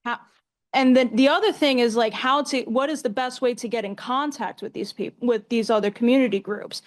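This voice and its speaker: A woman's voice: on the high side, a little fast. An American woman in her twenties answering as an activist guest in a conversational interview, emphatic and repeating phrases for stress. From New York State, US. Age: 20s. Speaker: activist guest, community organizer.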